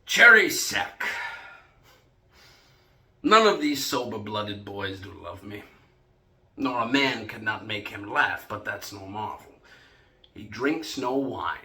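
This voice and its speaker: A man performing a Shakespearean stage monologue as a boastful, hard-drinking old knight, with declamatory, drawn-out delivery. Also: fairly low pitch, bright, forceful tone, full character voice. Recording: roomy, echoing solo room recording.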